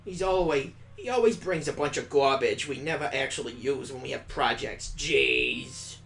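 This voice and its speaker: A man's voice: medium pitch, moderate pace, gravelly, a bit bright, soft background music. Man voicing an impatient, grumbling young girl. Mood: irritated. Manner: grumbling, complaining. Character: displeased, impatient eleven-year-old girl. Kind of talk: visual novel character reading.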